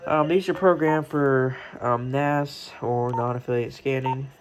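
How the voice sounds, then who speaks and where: A man's voice: mid-range voice, fairly even intonation, unhurried. A man casually showing off his hobby gear in his room, rambling and hesitating as he thinks aloud. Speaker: vlogger, radio hobbyist. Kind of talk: casual unscripted show-and-tell. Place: indoors in his own room. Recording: clearly noisy home recording.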